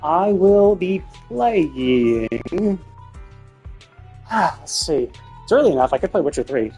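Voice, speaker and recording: Casting: male game streamer, husky and a touch high, loose conversational delivery that wanders as he thinks aloud. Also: very animated, takes it slowly, dark tone, soft background music. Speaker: video game livestreamer. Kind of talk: casual chat while streaming games.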